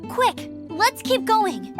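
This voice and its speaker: A woman's voice: very high voice, wildly swooping pitch, takes it slowly, heavily acted. A young woman playing an upbeat, encouraging female scout, over game music. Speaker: scout and gliding champion. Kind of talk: game cutscene dialogue.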